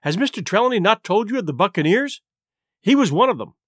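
A man acts out a young cabin boy in a storybook. He is earnest, polite and excitable, his high voice moving with lively pitch in a close, dry sound. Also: fast, dry recording, exaggerated voice. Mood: eager, earnest. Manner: polite, eager. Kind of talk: audiobook character voice.

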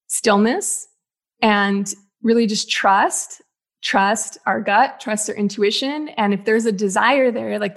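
A woman's voice: mid-range voice, creaky. A woman in her thirties whose first language is Spanish, a guest on a podcast talking in a reflective way with many fillers. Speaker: guest, business mentor. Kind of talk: casual podcast conversation.

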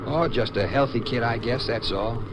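A man's voice: moderate pace, lightly acted. A man playing a younger American trucker, an earnest first-time father-to-be, in a 1950s radio drama. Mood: earnest, anxious. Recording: noisy old radio recording, engine rumble. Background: truck motor noise.

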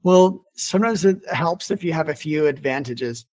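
A man's voice: a little high, animated, moderate pace, a little mellow. A man hosting a casual podcast interview, talking informally and rambling a little, with "like" sprinkled through his sentences. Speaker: podcast host and business owner.

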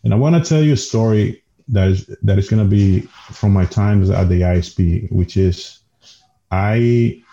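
A man's voice: lowish voice, a little slow, gravelly, mellow, highs cut off. A man from the Dominican Republic, a native Spanish speaker with a Dominican accent, telling his story casually as a podcast guest and often restarting phrases.

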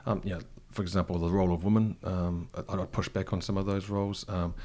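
Man, middle-aged, New Zealand accent, very deep voice, thoughtful, stop-start phrasing. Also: flat delivery, fast, darkish tone. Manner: thoughtful, frequent restarts and fillers. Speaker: interview guest, cafe co-founder. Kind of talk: reflective conversational interview.

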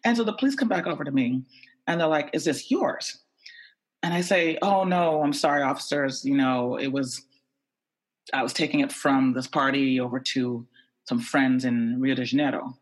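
A woman's voice: rumbling low, moderate pace, low-quality recording. A young woman telling a personal anecdote, animatedly voicing the people in it. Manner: lively, animated quoting of others. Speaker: storyteller. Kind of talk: personal anecdote told to an audience.

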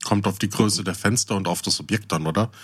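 A man's voice: fairly low pitch, fast, fairly bright tone, slightly rough. A man co-hosting a casual podcast chat, asking questions and arguing his point in detail. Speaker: podcast co-host.

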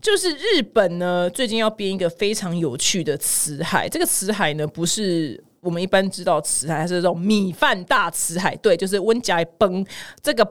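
A woman's voice: fairly low pitch, lively intonation, normal speed. A woman with a Taiwanese Mandarin accent hosting a casual news podcast, exclamatory and dramatic, wandering into personal asides and mixing in English words. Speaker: podcast host.

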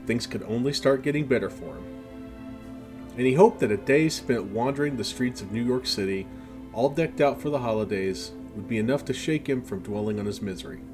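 A man's voice: mid-range voice, brisk. A man reading a story chapter as a scripted audiobook narration. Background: background music with guitar.

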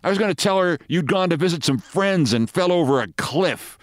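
A man's voice: high voice, animated, brisk, dry recording, studio quality, voice pushed up. A man voicing a petulant, henpecked middle-aged husband who stands on his dignity. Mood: peevish.

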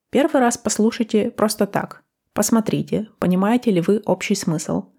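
A young woman from Russia hosting a podcast for learners, speaking calmly and clearly in a friendly, encouraging way. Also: mid-range voice. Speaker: podcast host, Russian teacher. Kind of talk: slow, clear podcast talk for language learners.

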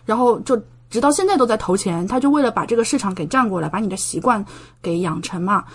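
A woman's voice: mid-range voice, animated, fast talker, breathy edge. A woman from China talking casually as an interview guest, explaining things at length with plenty of examples. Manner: explanatory, talkative, gives examples. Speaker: podcast guest, doctoral student in medicine living in Japan.

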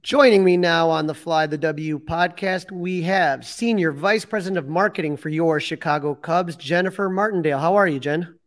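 Guy hosting a sports podcast, kinda high voice, rambly and chatty, lots of likes and uhs. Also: moderate pace. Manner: storytelling, lots of fillers. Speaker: podcast co-host. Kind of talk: casual sports chat.

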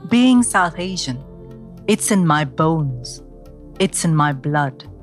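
A woman from India hosts a podcast with a lowish voice and a measured storytelling delivery. She reads a scripted introduction before opening the interview. Faint music sits beneath her. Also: lively intonation. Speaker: podcast host.

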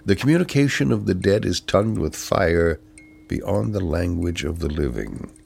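A man reciting poetry solemnly and deliberately, with weighty pauses and a gravelly voice. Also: low-pitched, animated, darkish tone. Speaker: poetry reader.

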